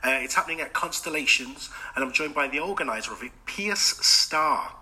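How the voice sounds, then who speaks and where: A male radio host with a very bright, sharp tone and a slightly higher voice runs a casual studio interview. He teases his guest with quick jokes and keeps the chat light. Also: lively intonation, rough recording. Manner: jokey, teasing.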